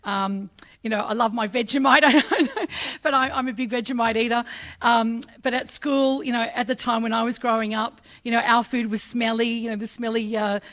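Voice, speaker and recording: A middle-aged woman with an Australian accent, whose first language was Arabic, speaks at a ceremony. Her voice sits a little high, and she talks in a chatty, heartfelt way, acting out the voices of people in her stories. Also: steady tone, a little fast, a bit bright. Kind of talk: personal speech at a public ceremony.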